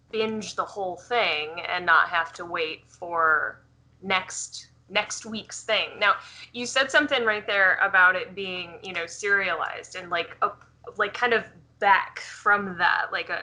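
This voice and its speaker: A woman's voice: on the low side, a little slow, bright, forceful tone. A woman interviewing on a podcast in casual chat, feeling her way informally through meandering questions.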